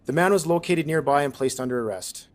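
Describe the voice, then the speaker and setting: A man's voice: fairly high pitch, a little fast. A man, a police spokesman reading a prepared statement in an official, impersonal manner.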